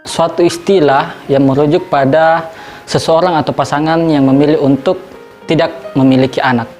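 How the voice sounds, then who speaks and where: A man's voice: on the high side, steady tone, moderate pace, a little mellow, soft background music. A man in his twenties answering street-interview questions in composed, formal sentences. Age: 20s. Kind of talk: vox pop answers to camera.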